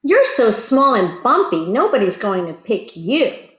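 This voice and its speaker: Woman voicing a taunting, boastful big pumpkin, animated. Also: a little high, measured pace, reverberant. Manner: taunting, exaggerated. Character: big boastful teasing pumpkins in a children's storybook.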